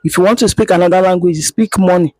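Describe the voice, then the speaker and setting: A Nigerian woman speaking to camera outdoors, keeping her voice low as she scolds her viewers with emphatic repetition. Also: fairly even intonation, fast talker, dry recording. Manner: speaking quietly. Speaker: vlogger. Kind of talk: impassioned monologue to camera.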